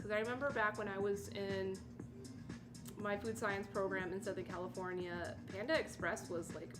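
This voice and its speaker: Woman reading aloud over background music. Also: mid-range voice. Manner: reads steadily, breaks off into chatty, surprised comments. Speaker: livestream host. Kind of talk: reading an article aloud with casual asides. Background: music playing underneath.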